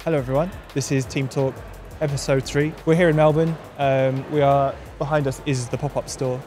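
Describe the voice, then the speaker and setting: A man's voice: mid-range voice, flat delivery, fairly quick, a little mellow, faint music behind. A young man in his twenties hosting a podcast, chatting casually in a laid-back, rambling way with lots of fillers. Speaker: podcast host. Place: glass-walled room by a busy street. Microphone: desk mic with headphones.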